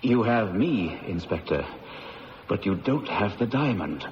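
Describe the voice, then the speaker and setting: An actor playing a guarded, weary accused man in an old-time radio drama, speaking deliberately. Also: fairly low pitch, expressive. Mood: weary, resigned. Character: guarded, weary male diamond-company employee accused of theft. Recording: dull, echoing radio recording, faint hiss.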